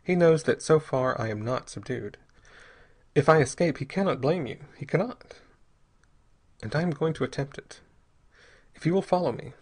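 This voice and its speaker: A man voicing a grim hero who speaks quietly and coldly. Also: fairly high pitch, lively intonation, moderate pace, pauses often, breathy edge. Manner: quiet, cold, clipped. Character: grim adventurer hero, cold and restrained.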